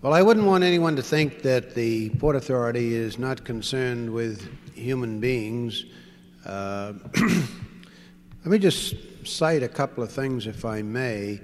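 A man's voice: medium pitch, takes it slowly. A man chairing a public hearing, speaking in a formal, courteous manner. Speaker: hearing chair and port authority spokesman. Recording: echoey hall.

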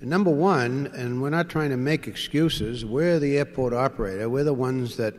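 A man's voice: medium pitch, moderate pace. A man chairing a public hearing, speaking in a formal, courteous manner. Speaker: hearing chair and port authority spokesman. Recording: echoey hall.

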